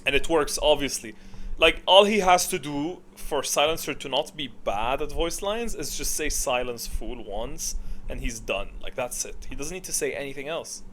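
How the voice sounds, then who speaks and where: A man's voice: a little high, expressive, moderate pace, a bit bright, a little hiss or hum. A man giving casual, opinionated ranking commentary, thinking aloud in an informal way. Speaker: gamer video creator.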